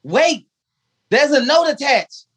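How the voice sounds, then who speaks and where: A man's voice: very high voice, sing-song, slow pace, full character voice. A man playing a loyal but cheeky household valet in 1950s radio comedy style, with dry, sassy punchlines. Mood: wry.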